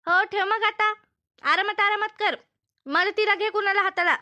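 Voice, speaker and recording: Woman voicing a very high, piercing, nagging cartoon mother. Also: steady tone, moderate pace, bright, forceful tone. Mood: fretful. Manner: nagging, repetitive, fretful. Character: Shanta's fussing, scolding older village mother. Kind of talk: comedy cartoon dubbing.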